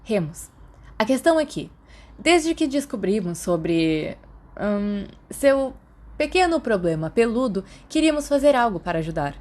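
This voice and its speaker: An earnest schoolboy in his teens voiced by a woman reader, reassuring and persuasive in storybook dialogue. He explains things in a voice lifted a little high. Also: big pitch swings, measured pace, darkish tone. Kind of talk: audiobook character dialogue.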